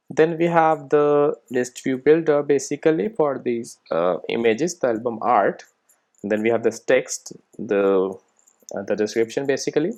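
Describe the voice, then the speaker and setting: A software instructor giving an informal screencast coding tutorial, explaining step by step and often checking that listeners follow. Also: mid-range voice. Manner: explanatory, frequently checking understanding with tag questions.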